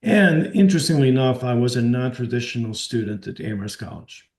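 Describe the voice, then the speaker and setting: A man from Portugal, a native Portuguese speaker, giving a measured, courteous self-introduction on a video call. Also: mid-range voice, animated, a little mellow. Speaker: board applicant. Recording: echoey room.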